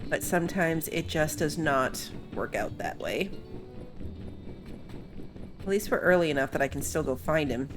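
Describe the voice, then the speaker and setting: A woman's voice: sits low, animated, unhurried, pauses often, over music. A woman giving casual, chatty playthrough commentary as she plays a video game, thinking aloud as she goes. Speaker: gaming video host.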